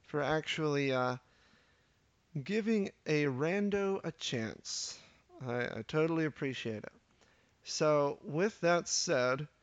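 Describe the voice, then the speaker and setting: A man's voice: fairly high pitch, no echo, dull audio. A man in his thirties hosting a casual solo podcast intro, rambling and self-deprecating with lots of hesitation. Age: 30s. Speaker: podcast host.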